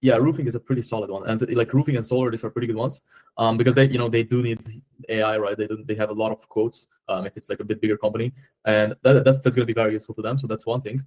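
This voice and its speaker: A man's voice: fairly low pitch, steady tone, rapid-fire, a little mellow. A man with a Slovenian accent in a casual sales conversation, speaking informally and often doubling back on his phrases. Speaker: agency owner on a sales call.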